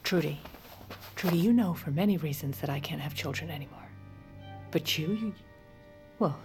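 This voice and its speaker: A woman's voice: low voice, moderate pace, darkish tone. Bossy motherly first lady, poised and pushy, audio drama with music under it. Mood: firm, persuasive. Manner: poised, persuasive, insistent. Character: commanding, manipulative First Lady, sharp-tongued and motherly. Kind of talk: audio drama acting with first-person narration.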